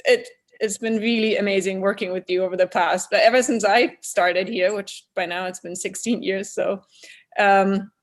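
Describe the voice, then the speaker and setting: A woman's voice: mid-range voice, steady tone, normal speed. A woman hosting an award ceremony over a video call, chatty with fillers. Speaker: event host.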